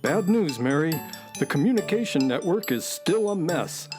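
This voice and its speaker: A man's voice: a little high, expressive, moderate pace, music in the background, close to an everyday voice. A man playing a loyal, worried talking space horse in a children's radio serial, earnest and folksy.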